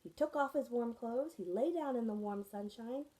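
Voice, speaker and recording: Mellow-voiced woman reading a picture book. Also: fairly high pitch, moderate pace, rough recording. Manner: warm, expressive storytelling with friendly asides. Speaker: teacher reading to her students. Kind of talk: children's picture book read-aloud.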